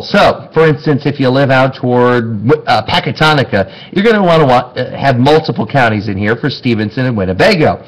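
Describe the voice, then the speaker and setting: A middle-aged American man giving an informal, step-by-step demonstration to camera in a conversational, explanatory way, as a TV meteorologist would. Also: medium pitch. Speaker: TV meteorologist presenting a how-to. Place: indoor TV station office with desk and monitors. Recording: clearly noisy room sound, clicks.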